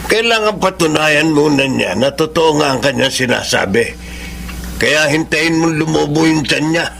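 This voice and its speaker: An older Filipino father in a radio drama. His voice is gravelly and slightly high, and he lays down advice to his son firmly and insistently. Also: lively intonation, moderate pace, fairly bright tone, slight background noise. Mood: firm, advising. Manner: firm, insistent. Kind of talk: Tagalog radio drama acting.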